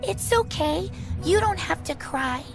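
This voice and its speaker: A woman's voice: very high voice, lively intonation, measured pace, gravelly, over music, heavily acted, poor audio. A woman playing a timid, lonely little girl in a dramatic video game. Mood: gentle. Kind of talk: English-dubbed video game acting.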